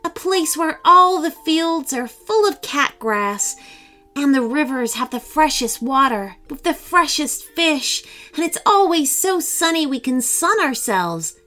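Lady playing a clever eager girl cat, lively sing-song voice. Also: high voice, unhurried, soft background music, pitched above the performer's own voice, exaggerated voice. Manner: enthusiastic, dreamy. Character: smart eager female street cat.

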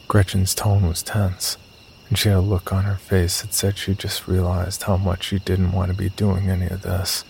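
A man's voice: low voice, monotone, normal speed, vocal fry, a little mellow, a little hiss or hum, poor audio. A man playing a wry, sardonic young narrator who tells a horror story in the first person. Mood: wry, uneasy.

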